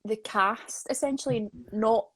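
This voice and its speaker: A woman hosts a casual live interview with a slightly high, husky voice. She is chatty and encouraging with her guest. The sound is dry, with little room echo. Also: unhurried. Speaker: host, interviewer. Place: live social media video call.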